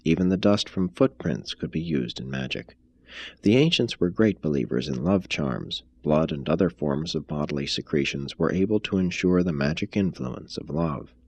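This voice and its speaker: A man reading an expository text aloud as a narrator, in a measured, formal manner. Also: low-pitched, darkish tone, husky, no room sound. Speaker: reader, narrator. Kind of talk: scripted audiobook-style reading of an expository text.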